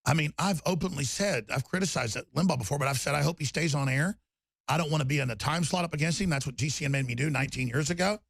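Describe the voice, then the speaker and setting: A man hosting a talk radio show, raspy and torn-up in tone, rambling emphatically with loud bursts and low mutters. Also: fast talker, no echo. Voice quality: raspy, gravelly voice. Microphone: studio microphone.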